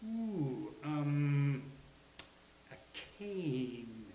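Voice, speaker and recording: A man's voice: on the high side, sing-song, extremely slow, dark tone, exaggerated voice. A man playing a wry, slightly anxious man in a sitcom table read, with dry, hesitant delivery. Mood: eager. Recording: hissy, roomy live recording.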